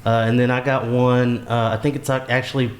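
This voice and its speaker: A man's voice: mid-range voice, little pitch movement, fairly quick. A man chatting casually at a tasting in an easygoing, tentative manner. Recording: noisy, echoey room.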